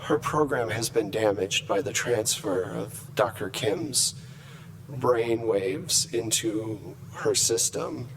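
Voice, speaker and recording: A man voicing a logical, disapproving shipboard AI in a measured, formal, clinical manner at a game table. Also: on the low side, crowd noise, low-quality recording. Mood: concerned, formal.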